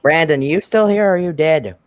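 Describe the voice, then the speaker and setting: A man's voice: on the high side, fairly quick. A man hosting a casual reaction chat, joking and teasing his guest, often restarting his sentences. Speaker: host of the reaction video.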